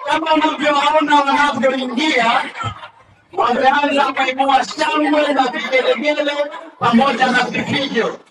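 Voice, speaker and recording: A man's voice: extremely high pitch, fairly even intonation, a little slow, a loud crowd. A man hosting a school talent show, announcing acts enthusiastically. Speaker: master of ceremonies. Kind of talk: event hosting. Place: school hall event. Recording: echoey hall, far from mic, faint noise.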